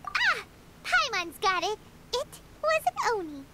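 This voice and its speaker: A woman's voice: big pitch swings, measured pace, very noisy, exaggerated voice. A woman voicing a tiny, perky, chatty fairy companion in a video game, who talks about herself in the third person. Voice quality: very high, squeaky, bouncy.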